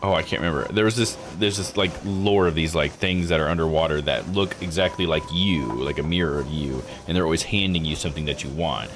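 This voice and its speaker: A man's voice: a bass voice, fast talker, hardly any pauses. A man giving casual live commentary as a game streamer, thinking aloud as he goes. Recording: music under the voice. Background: game music.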